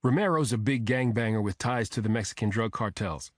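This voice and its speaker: A man's voice: lowish voice, fairly quick, no room sound, clean studio sound, close to an everyday voice. Man reading as an urgent, businesslike police dispatcher. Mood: urgent, official. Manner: official, factual.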